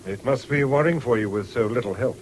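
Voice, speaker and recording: An actor playing a polite, gentlemanly English country doctor in a radio drama. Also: male voice, mid-range voice, a little fast, close to an everyday voice. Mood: friendly, interested. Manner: polite, smooth-mannered, gentlemanly. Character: courteous, genial country doctor with a shooting interest. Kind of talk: 1970s British radio drama acting. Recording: noisy old radio broadcast recording.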